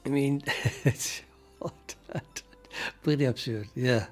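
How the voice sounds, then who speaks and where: An older man from the Netherlands with a Dutch accent, talking casually and haltingly to his livestream chat while he codes. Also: mid-range voice, steady tone, a little mellow, faint music behind. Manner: musing, hesitant, halting with many fillers, chuckles to himself. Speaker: programming livestreamer.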